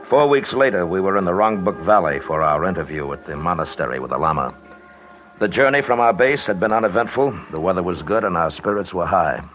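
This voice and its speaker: A man's voice: low voice, animated, fairly quick, fairly bright tone, soft background music. A man playing a sober, businesslike expedition leader, narrating and speaking in an old-time radio drama in a matter-of-fact way. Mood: reflective, sober.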